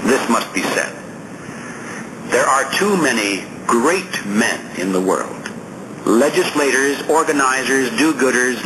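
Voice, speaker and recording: A man reads a political essay aloud as an audiobook narrator, in a deliberate, emphatic, oratorical manner. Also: mid-range voice. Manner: deliberate, emphatic oratorical reading with rhetorical questions and lists. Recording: clearly noisy audiobook recording.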